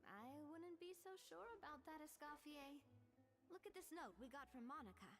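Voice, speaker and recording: A woman's voice: high voice, expressive, moderate pace, a bit bright. A woman playing a confident, good-humored young woman in a video game, playful and persuasive with her friends. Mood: reassuring. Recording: game music behind the voice.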